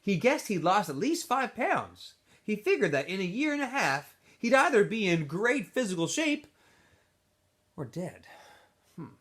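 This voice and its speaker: Animated high-voiced man reading a story aloud. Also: measured pace. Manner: animated, with asides to listeners. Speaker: teacher reading aloud to students. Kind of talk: expressive storybook read-aloud.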